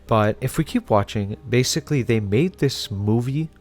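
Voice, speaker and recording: A man's voice: mid-range voice, animated, normal speed, darkish tone, faint music behind. A man hosting a casual analysis video, talking conversationally with wry asides. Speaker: host.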